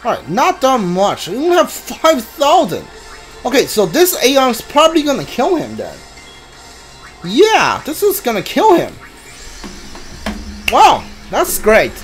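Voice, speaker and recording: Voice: medium pitch, huge pitch swings, measured pace, pauses often. A video game streamer giving casual commentary on a blind playthrough. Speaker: gameplay streamer. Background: game music behind the voice.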